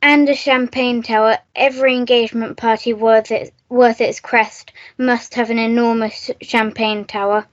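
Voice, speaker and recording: A woman's voice: fairly high pitch, flat delivery, moderate pace, few pauses, lightly acted. A woman playing a breezy, theatrical upper-class English schoolgirl with a taste for cocktails, in a comic radio melodrama. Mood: gushing, eager. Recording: dull lo-fi recording.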